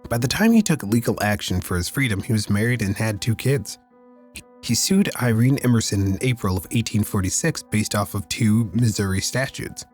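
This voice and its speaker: Casting: male podcast host, lowish voice, raspy and squeaky after illness, steady factual reads, faint music under. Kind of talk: read history segments and casual sign-off.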